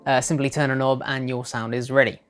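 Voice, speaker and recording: Low-pitched woman narrating a tutorial. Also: flat delivery, brisk. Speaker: tutorial presenter.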